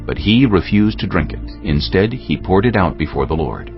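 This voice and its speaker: A man narrating dramatized scripture as a solemn, measured storyteller. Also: low-pitched, big pitch swings, creaky, dull audio, faint music behind.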